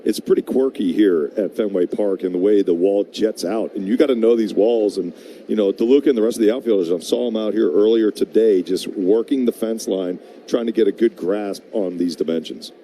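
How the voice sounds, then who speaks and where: A man's voice: fairly low pitch, a little fast, mellow. A man giving conversational, explanatory color commentary on a live baseball radio broadcast. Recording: faint background noise.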